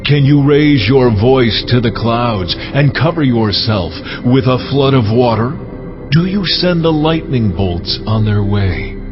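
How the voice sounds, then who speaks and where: An actor playing God speaking from the storm in dramatized scripture, solemn, stern and commanding, with deliberate questioning. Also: male voice, medium pitch. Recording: dull lo-fi audio under music.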